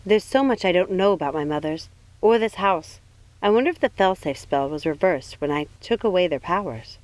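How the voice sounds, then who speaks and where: A woman's voice: on the low side, lively intonation, normal speed, a little mellow, dry recording, natural voice, rough recording. A woman voicing a worried, protective young witch in an audiobook, speaking earnestly and with care. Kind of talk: audiobook character voice.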